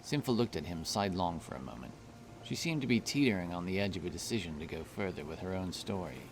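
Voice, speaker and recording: A man's voice: deep voice, animated, fast talker, noisy background. A man giving scripted narration of a fantasy story as its narrator.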